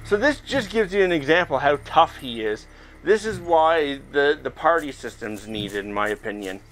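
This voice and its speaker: Higher-voiced man chatting through VR gameplay. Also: lively intonation, normal speed, fairly bright tone, a little hiss or hum, rough recording. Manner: chatty, thinking aloud while playing. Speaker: gaming video host. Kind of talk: casual gameplay commentary. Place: indoors, playing in a VR headset in front of a camera. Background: game music.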